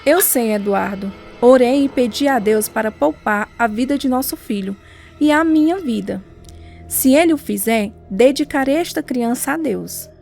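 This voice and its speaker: A woman's voice: on the high side, animated, normal speed, gravelly, a little mellow, faint music behind. An actress playing a devout, caring wife and mother in a radio drama. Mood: grave, devout.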